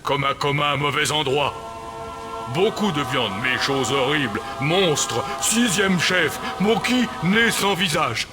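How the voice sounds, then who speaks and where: A slow, growling cannibal chief. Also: male voice, a little high, over music. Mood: solemn. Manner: broken pidgin speech, growls, slow deliberate delivery. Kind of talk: French dub of game cutscene.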